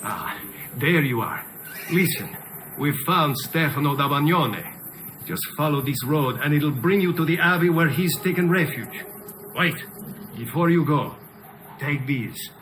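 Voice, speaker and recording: Higher-pitched mercenary scout. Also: male voice, expressive, a little slow, pauses often, fairly bright tone, slight background noise, rough recording. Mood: brisk. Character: brisk, dutiful male mercenary scout. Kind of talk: video game acting.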